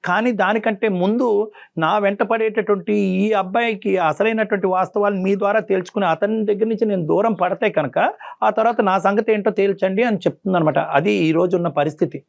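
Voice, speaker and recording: A man's voice: high voice, fast. A man acting as a doctor, counseling a caller on air in an earnest, persuasive way. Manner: earnest, persuasive, pleading repeatedly. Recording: dull lo-fi sound.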